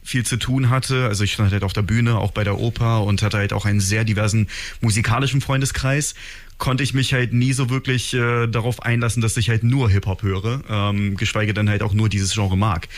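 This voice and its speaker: A man's voice: on the low side, steady tone, a little fast. A man born in Kazakhstan, a rapper in a band, answering interview questions in a rambling way with many fillers. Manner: rambling, heavy use of fillers like halt and äh. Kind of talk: casual interview chat.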